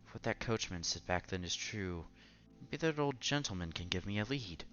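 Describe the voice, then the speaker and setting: Male let's-player, low forceful voice, casual read-aloud with small stumbles, music behind. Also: animated, moderate pace, dull audio. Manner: stumbles and repeats words while reading. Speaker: streamer reading a visual novel aloud. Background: game music.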